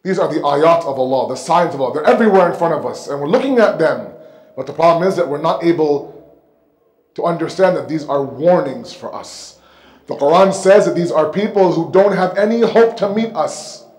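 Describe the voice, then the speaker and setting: A man delivers a religious lecture in a rather high voice. He speaks emphatically, repeating phrases for weight and posing rhetorical questions. The sound is noticeably echoey, picked up on a microphone in a hall. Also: lively intonation, a little fast, darkish tone. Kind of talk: sermon lecture. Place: indoor mosque hall, seated at the pulpit. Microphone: microphone on a stand close in front.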